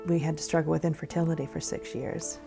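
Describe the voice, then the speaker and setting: Woman with a creaky, even voice. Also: deep voice, quick pace. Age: middle-aged. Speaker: documentary interviewee, wife. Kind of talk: conversational documentary interview. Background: guitar music.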